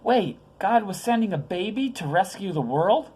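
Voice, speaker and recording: A woman's voice: mid-range voice, animated, normal speed, dark tone, slightly rough, pitched above the performer's own voice. Woman playing young girl, storybook, awed, humble, trusting, earnest, wondering. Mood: amazed disbelief.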